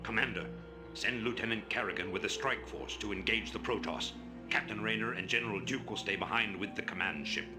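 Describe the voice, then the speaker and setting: A man performs a cold, ambitious commander from a video game. The voice is very low, measured and imperious, with clear music playing behind it. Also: lightly acted. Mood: stern command. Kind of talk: dramatic video game voice acting.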